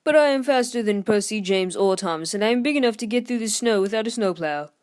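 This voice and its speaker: A woman's voice: medium pitch, expressive, quick pace, a little mellow, clear, resonant tone, pitched above the performer's own voice, lightly acted. A woman voicing a teasing, boastful big male steam engine in a children's storybook, exclaiming as it brags. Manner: exclaiming, bragging.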